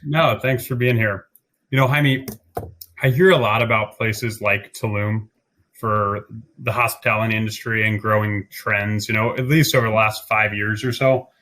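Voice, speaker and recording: Even-toned male podcast host. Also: lowish voice, moderate pace, a little hiss or hum. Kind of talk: conversational podcast interview.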